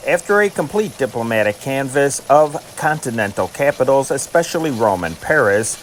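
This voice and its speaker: A man reading scripted news dispatches in a formal, measured newsreader manner. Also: mid-range voice, a little hiss or hum, poor audio. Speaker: narrator and channel host reading historic news dispatches.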